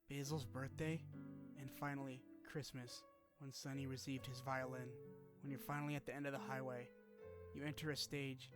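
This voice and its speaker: A man reading scripted narration that retells a video game's story. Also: mid-range voice.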